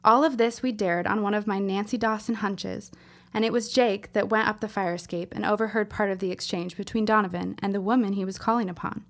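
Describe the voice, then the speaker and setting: A woman's voice: medium pitch, steady tone, a little fast, natural voice. Earnest young woman, formal and grave acted by a woman. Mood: grave warning. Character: earnest, wounded young woman writing a solemn letter. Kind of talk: scripted period drama monologue.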